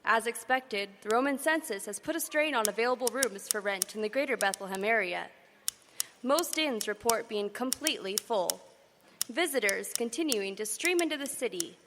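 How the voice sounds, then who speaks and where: An actress playing a brisk woman radio news reporter in an old-time radio drama, reading the news in a crisp newsreader manner. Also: on the high side, expressive, long reverb tail, noisy background. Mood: businesslike. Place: stage before a live audience.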